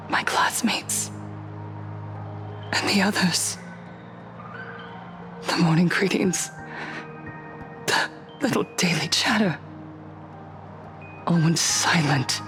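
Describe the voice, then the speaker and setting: A woman playing a saintly, sorrowful cult leader in a video game, solemn and tender with dramatic pauses. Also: on the low side. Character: saintly, sorrowful female cult leader addressing her followers. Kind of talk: scripted video game speech to a crowd.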